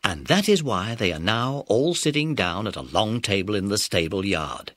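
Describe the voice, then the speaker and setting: A man's voice: on the low side, animated, moderate pace, fairly bright tone, dry recording. A man narrating a scripted children's story.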